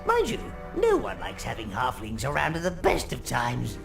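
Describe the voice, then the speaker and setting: An aged goblin-like advisor in a video game. The delivery swings hugely up and down, theatrical and servile, relishing every wicked deed. Prominent music plays behind the voice. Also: male voice, on the high side, wildly swooping pitch, moderate pace, vocal fry, full character voice. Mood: scheming, advisory. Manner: theatrical, deferential, relishing evil. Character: elderly goblin-like minion advisor, fawning and gleefully wicked. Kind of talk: video game character acting.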